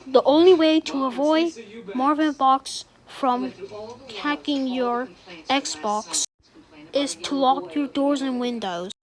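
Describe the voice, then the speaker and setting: A woman's voice: high voice, rough recording. A woman delivers a scripted emergency broadcast announcement as an alert announcer, in a formal, solemn manner.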